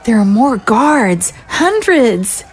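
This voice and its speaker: A worried young girl, played by a woman, high-pitched and slow to get her words out. She falters and swings up and down in an audio drama. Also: very animated. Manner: hesitant, faltering. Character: hesitant, worried young girl guarding zombie prisoners.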